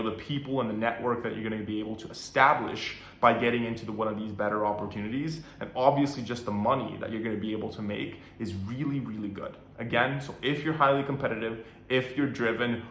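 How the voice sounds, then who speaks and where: A young man from Norway giving relaxed career advice to camera from his apartment, talking casually with lots of "right" and "you know". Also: lowish voice, echoey room. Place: apartment room.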